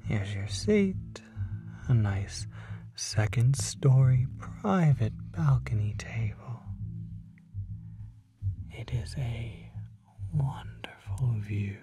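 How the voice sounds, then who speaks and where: A performer's teasing demon, audio roleplay, drawn-out breathy murmur, creaky, condescending charm, unhurried. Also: mid-range voice, expressive, warm tone, far from the mic, faint music behind, heavily acted. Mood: smooth, musing. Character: teasing, smooth-talking demon dinner companion, touchy and condescending. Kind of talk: audio roleplay addressed to the listener.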